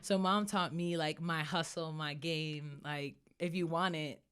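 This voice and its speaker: A woman's voice: low-pitched, a little slow, clean tone. A young woman from New York City, a guest talking earnestly in a casual interview, often slipping in quoted asides. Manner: earnest, animated, speaks in quoted asides and filler "like".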